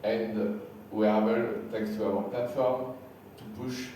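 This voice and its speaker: A man from France with a French accent, slightly sick and losing his voice, giving a conference talk with halting, repeated words. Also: lowish voice, little pitch movement, warm tone. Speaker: conference speaker. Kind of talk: conference presentation. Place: large hall. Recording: echoey hall from far off, faint hiss.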